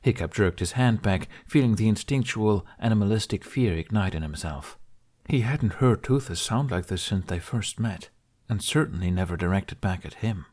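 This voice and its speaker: Male narrator, lowish voice, calm measured storybook read. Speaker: podfic reader.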